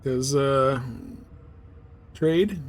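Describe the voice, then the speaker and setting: A man's voice: mid-range voice, extremely slow. A man chatting casually and wryly while streaming a game he is playing.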